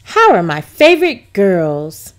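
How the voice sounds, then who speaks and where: Woman reading as a gentle, reassuring storybook mother. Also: medium pitch, wildly swooping pitch, slow pace, pitched below the performer's own voice. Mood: affectionate. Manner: patient, explanatory. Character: gentle, reassuring, affectionate mother of a young girl. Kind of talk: children's storybook read-aloud.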